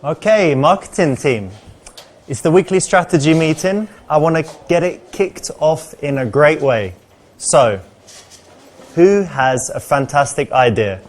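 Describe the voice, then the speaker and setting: A man's voice: fairly high pitch, animated, a little slow, pauses often. A man playing an upbeat office boss with an encouraging, chummy, salesman-like manner in a video game. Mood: brisk, upbeat.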